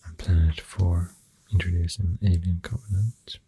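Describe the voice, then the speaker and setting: A soft-spoken ASMR host with a Swedish accent, talking in a hushed, breathy, partly whispered voice, halting and gentle, close to the microphone. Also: sits low, fairly even intonation, background noise.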